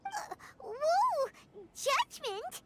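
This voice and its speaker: A woman voicing a tiny, excitable childlike fairy companion with a squeaky voice in an anime video game. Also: very slow, heavy background noise, heavily acted. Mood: startled. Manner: exclamatory, refers to herself in third person.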